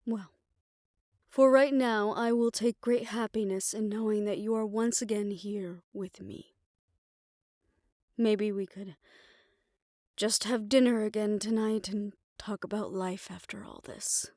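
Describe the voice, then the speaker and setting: A woman playing a tender, world-weary lover in a fantasy audio roleplay, speaking in a measured, reflective and intimate way. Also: medium pitch, fairly even intonation, no room sound, studio quality, lightly acted. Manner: measured, reflective, formal phrasing, soft hushed moments. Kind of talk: romantic audio roleplay, intimate scripted monologue.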